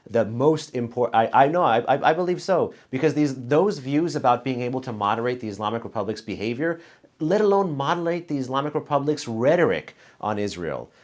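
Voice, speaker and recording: A man hosting a panel discussion who makes dense, argumentative points and adds quick footnotes. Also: medium pitch, expressive. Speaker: host moderating a panel.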